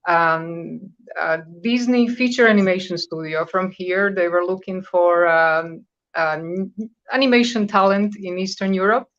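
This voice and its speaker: A woman's voice: on the low side, measured pace. Croatian-born woman in her fifties, muffled. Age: 50s. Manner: conversational, searching for words, with hesitations. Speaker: guest. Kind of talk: radio interview.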